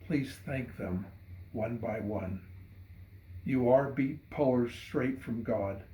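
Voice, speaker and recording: Man as a calm devout farmer father, slow, echoey. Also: mid-range voice, lightly acted. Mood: humbly grateful. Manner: calm, subdued, gentle. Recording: roomy echo.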